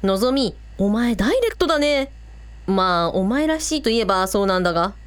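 A woman's voice: medium pitch, very animated, fairly quick, dry recording, close to an everyday voice. A woman playing a frank, casual older-sister shrine priestess in an audio drama. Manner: blunt, casual speech. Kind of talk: Japanese audio drama acting.

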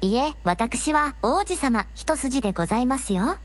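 A woman's voice: high-pitched, expressive, moderate pace. A woman playing a polite young fantasy princess who speaks very formally in an audio drama. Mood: gracious, devoted. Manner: very formal, courteous speech. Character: polite, gracious young dragon-clan princess in human form. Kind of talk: Japanese audio drama acting.

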